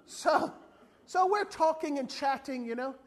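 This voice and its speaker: Animated male evangelist telling stories. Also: extremely high pitch, measured pace. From not from South Africa. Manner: animated storytelling, acts out other people's voices. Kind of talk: sermon with humorous storytelling.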